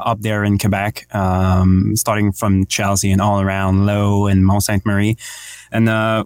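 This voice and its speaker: A man's voice: fairly low pitch, dry recording, studio quality. A man co-hosting a casual podcast and introducing a guest, with lots of fillers and frequent laughs. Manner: laughs often, many fillers. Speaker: podcast co-host and interviewer.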